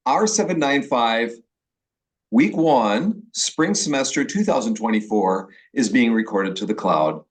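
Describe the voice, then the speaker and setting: Chatty Midwestern professor on a call. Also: male voice, medium pitch, lively intonation. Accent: Upper Midwest American. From Milwaukee, Wisconsin. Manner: chatty, with restarts and pauses. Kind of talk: online class orientation. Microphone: video call.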